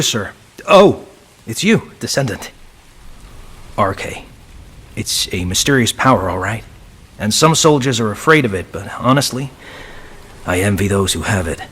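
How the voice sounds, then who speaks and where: Husky, self-doubting young soldier. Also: male voice, medium pitch, a bit bright. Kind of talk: video game cutscene acting.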